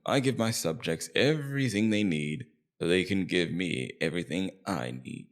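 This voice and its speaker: Man voicing a deep, gravelly, smug Demon King. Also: exaggerated voice. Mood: cold, persuasive. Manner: theatrical, taunting, drawn-out deliberate emphasis. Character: scheming immortal Demon King, smug, persuasive, coldly calculating villain. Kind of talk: ASMR roleplay, scripted villain monologue.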